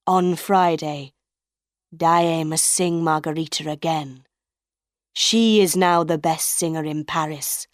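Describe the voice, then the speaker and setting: A woman reading a storybook aloud and voicing a hidden male phantom, slow, hushed and threatening. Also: sits low, no room sound, clean studio sound. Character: menacing hidden male phantom, low and cold.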